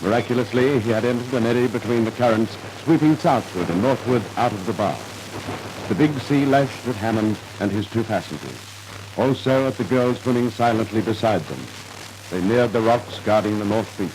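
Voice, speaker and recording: A man's voice: on the low side, normal speed, noisy background. A man narrating a scripted 1950s radio drama in a formal, dramatic storytelling manner, on an old radio recording.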